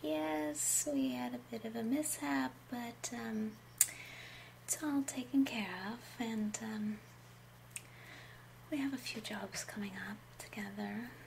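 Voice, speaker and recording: A woman playing a genteel, confiding love-potion witch in an ASMR roleplay, speaking gently in a breathy half-whisper. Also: on the high side, slight background noise, exaggerated voice. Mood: intimate, confiding. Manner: slow, gentle, unhurried, confiding. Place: small home room, indoors.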